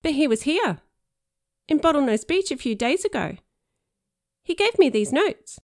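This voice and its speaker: A woman's voice: sits high, very animated, unhurried, no echo, studio quality, pitched above the performer's own voice. A woman voicing a frightened, earnest teenage boy who talks nervously.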